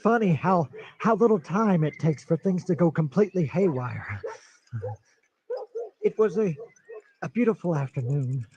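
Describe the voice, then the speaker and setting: An actor playing a nervous, paranoid middle-aged man who stammers and breaks into shrill outbursts, in an old-time radio drama style. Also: animated, a little slow, long pauses, darkish tone. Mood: uneasy. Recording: dull lo-fi recording.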